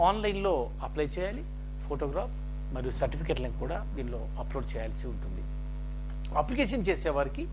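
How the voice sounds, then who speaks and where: A man presenting job information point by point in halting, list-like phrases, mixing in English terms. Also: a little high. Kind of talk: explaining a job notification point by point. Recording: noisy with steady mains hum.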